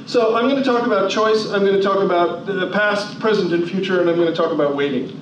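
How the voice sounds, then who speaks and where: New jersey guy giving a pep talk, keeps asking the crowd questions, slightly high voice, far from the mic in a big room. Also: fast. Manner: emphatic, rhetorical questions, varies pace for effect. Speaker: motivational speaker. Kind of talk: motivational talk to an audience. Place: large hall. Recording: echoey, distant, dull hall recording with noise.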